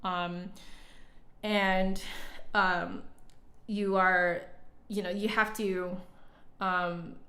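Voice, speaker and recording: A woman's voice: on the low side, little pitch movement, drawn-out words, pauses often, a bit bright. A woman livestreamer and online teacher chatting casually with viewers in a candid, informal way.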